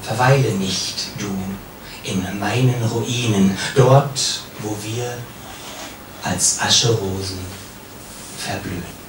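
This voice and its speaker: A man with a mid-pitched voice recites poetry solemnly and very slowly, leaving pauses and letting line endings drop away. He is recorded live from some distance, with heavy room echo and clear background noise. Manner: solemn, measured, with pauses and falling phrase endings. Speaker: poet reading own work. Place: café, live reading.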